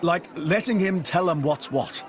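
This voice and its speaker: An actor with a British accent playing a cocky young adventurer in a video game, breezy and colloquial. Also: male voice, a little high, a little slow, a crowd in the background, close to an everyday voice. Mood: casual explaining. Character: cocky, good-humoured young exiled royal adventurer. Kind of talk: animated video game voice acting. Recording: music behind.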